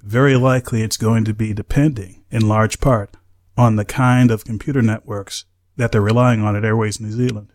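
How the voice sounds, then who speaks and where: A man with an American accent and a fairly low-pitched voice. He speaks as an expert analyst, laying out points in a measured, careful way. His delivery is explanatory throughout. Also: fairly even intonation, a little mellow. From United States. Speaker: aviation safety analyst and podcast host. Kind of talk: measured explanatory interview talk.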